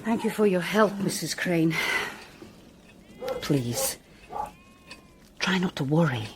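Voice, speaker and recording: A woman's voice: on the low side, animated, slow, background noise. A woman acting in a radio drama as an earnest police detective, coaxing and persistent in her questioning. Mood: soothing.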